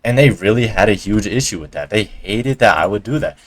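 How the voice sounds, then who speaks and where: A man's voice: fairly low pitch, animated, a little fast. A young man from Colorado telling a casual, profane story to camera as a vlogger, heavy on slang fillers, recorded at home on a cheap desk mic. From Colorado, USA. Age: young adult. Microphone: cheap desk mic resting on a coin jar.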